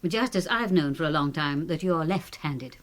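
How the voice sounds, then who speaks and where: An actress playing a composed, authoritative British doctor in a radio murder mystery, brisk and matter-of-fact. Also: female voice, low-pitched, lively intonation. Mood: dryly teasing. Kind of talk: radio drama acting.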